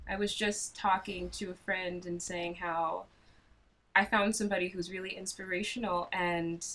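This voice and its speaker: Woman from the United States, lowish voice, reflective and personal sharing in a group call. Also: a bit bright, low-quality recording. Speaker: group participant.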